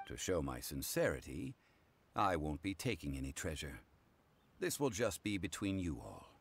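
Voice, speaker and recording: An actor playing a calm, dignified male scholar and consultant in an anime game, speaking formally and in a measured way. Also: low-pitched, vocal fry, a little hiss or hum, full character voice. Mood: composed, formal. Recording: music behind the voice.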